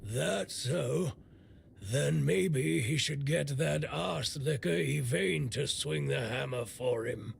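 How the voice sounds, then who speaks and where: An actor playing a bitter, blunt, middle-aged blacksmith in a video game, grumbling and weary with worry. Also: male voice, a little high, slow, gravelly, husky, heavily acted. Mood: gruff, scornful. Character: gruff, bitter male blacksmith, worried for a stricken young man.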